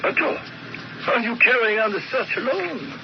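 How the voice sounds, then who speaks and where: High-voiced, courteous German officer. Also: male voice, animated, moderate pace. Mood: polite, cautious. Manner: formal, questioning. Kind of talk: old-time radio drama acting. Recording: muffled, very noisy vintage radio recording.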